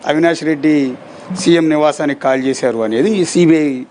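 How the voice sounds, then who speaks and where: A man's voice: a little high, moderate pace, darkish tone, slight background noise. A man working as a television news interviewer, putting probing questions and checking statements point by point.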